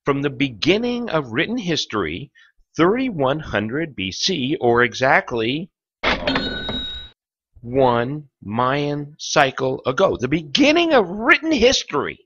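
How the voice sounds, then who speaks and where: A man narrating a lecture in a theatrical, suspenseful documentary style, pausing for effect between phrases. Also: fairly high pitch, sing-song, fairly bright tone, dull audio, slight background noise. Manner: theatrical, with rhetorical questions and suspenseful pauses.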